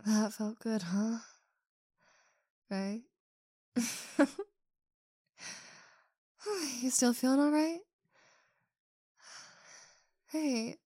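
A woman playing a possessive but affectionate girlfriend in an intimate roleplay audio, with a breathy, drawn-out delivery. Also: a little high, a little mellow, no room sound, clean studio sound, heavily acted. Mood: tender, relaxed.

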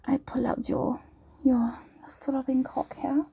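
Flirty tavern maid, put on by a woman; fake German accent, husky, dark-toned whisper, unhurried. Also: high voice, level tone, heavily acted. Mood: whispery. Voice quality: breathy, whispered. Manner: giggly, teasing, slow. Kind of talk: roleplay audio.

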